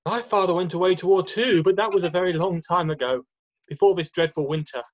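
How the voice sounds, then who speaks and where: An amateur performer voicing a gentle, nervous male faun with a hesitant, apologetic manner in a screenplay readthrough. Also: mid-range voice, fairly quick, dull audio, natural voice. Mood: melancholy. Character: nervous, guilt-ridden, gentle male faun, kindly host.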